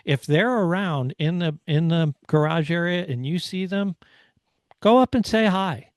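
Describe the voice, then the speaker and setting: A man co-hosting a motorsport discussion, talking casually and haltingly as he analyses. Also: fairly high pitch, no echo, clean studio sound. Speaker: motorsport podcast host.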